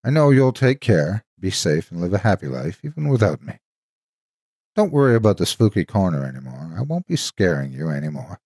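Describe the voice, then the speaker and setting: A man's voice: deep voice, very animated, a little fast, darkish tone, husky, no room sound, studio quality, exaggerated voice. A man voicing a devoted, protective soldier's ghost in an earnest, solemn horror storytelling reading. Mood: tender.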